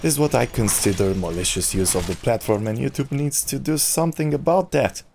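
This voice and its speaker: A man's voice: mid-range voice, animated, brisk, gravelly, darkish tone, a little hiss or hum. A man speaking candidly and with opinions, in a personal vlog monologue to his subscribers as a YouTuber. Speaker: YouTuber who makes game videos.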